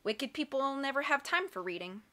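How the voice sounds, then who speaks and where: A woman's voice: sits high, normal speed, pitched above the performer's own voice. A woman reading a timid, bookish librarian man. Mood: nervous calm. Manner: quiet, trying to sound calm. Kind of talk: audiobook character voice.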